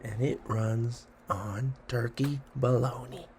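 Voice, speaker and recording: A man reading children's rhymes aloud expressively and deliberately, adding encouraging asides to the listener. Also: mid-range voice, steady tone, noisy background. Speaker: read-along host.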